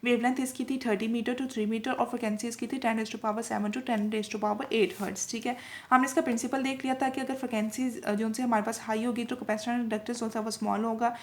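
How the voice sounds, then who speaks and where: Female tutor, voice on the high side; informal teaching delivery that repeats points and mixes in English terms. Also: fairly even intonation, fast, darkish tone. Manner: repetitive, with frequent check-in tags; switches between Urdu-Hindi and English technical terms. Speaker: lecturer in physiotherapy video lessons. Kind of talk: informal explanatory lecture.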